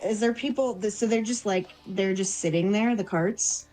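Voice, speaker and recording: A woman playing a tabletop game, casually thinking aloud about her character in a reflective, halting way. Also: mid-range voice, warm tone, poor audio. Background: background music.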